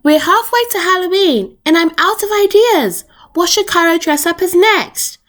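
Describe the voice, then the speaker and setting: A woman's voice: very high voice, normal speed, pitched above the performer's own voice. A woman voicing a cheerful, affectionate and playful mother from a children's storybook. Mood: playfully stumped.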